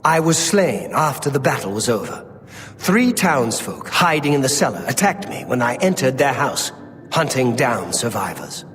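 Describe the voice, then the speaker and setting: An actor playing a regretful undead cultist in a fantasy game, speaking in an earnest, dramatic, haunted manner. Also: male voice, medium pitch, big pitch swings, normal speed, fairly bright tone, slight background noise. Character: regretful male undead cultist, once a raider, pleading. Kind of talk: theatrical fantasy game dialogue. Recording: reverberant hall, faint music behind.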